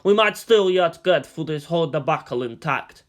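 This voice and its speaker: A man's voice: fairly high pitch, animated, normal speed, close to an everyday voice. A man acting out a blustering, commanding Soviet leader. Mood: grave, intense. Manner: emphatic, blustering, grand. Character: boisterous, commanding Soviet Premier, adult man.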